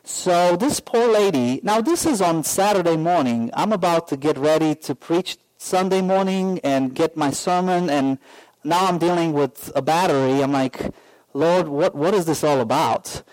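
A pastor preaching a sermon, telling a personal story in a slow, earnest way with many pauses and fillers. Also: male voice, on the high side, darkish tone, poor audio.

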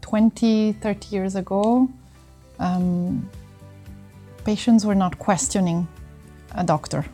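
A middle-aged woman with an Italian accent answers interview questions in a soft-edged, mellow voice. She explains things conversationally, pausing to find words, with music playing behind her. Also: mid-range voice, steady tone. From northern Italy. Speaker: interview guest, sports medicine doctor.

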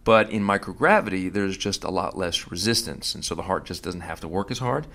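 A man's voice: deep voice, expressive, fairly quick, a bit bright. A man hosting a podcast, narrating his visit in a casual, conversational way with fillers and restarts. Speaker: host.

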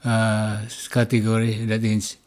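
A man in his eighties from the Philippines with a Filipino accent, reminiscing casually and pausing to search for words. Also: lowish voice, monotone, a little mellow. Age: 80s. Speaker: interview guest, grandfather.

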